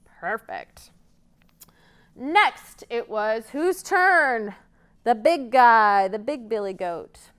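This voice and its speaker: A woman's voice: a little high, expressive, drawn-out words, a bit bright, clean tone. A woman hosting a children's storytime, telling stories interactively and coaching young listeners along with questions. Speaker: children's librarian, storytime host.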